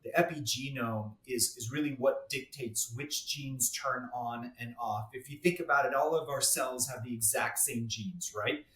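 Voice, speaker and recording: A man's voice: medium pitch, moderate pace, few pauses, pushed and bright. Man explaining medicine in a roomy echo. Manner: explanatory, repeats words when restarting. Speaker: guest dermatologist. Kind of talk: podcast interview.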